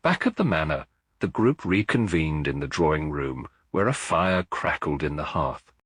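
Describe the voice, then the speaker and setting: A man narrating a detective story in a measured, dramatic audiobook style. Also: very deep voice, big pitch swings, a bit bright, breathy edge, no room sound.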